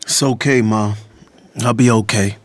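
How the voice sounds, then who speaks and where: A man's voice: on the low side, steady tone, a little slow, no room sound, natural voice. An actor playing a resolute, self-sacrificing younger brother who narrates his story in the first person. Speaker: audiobook narrator.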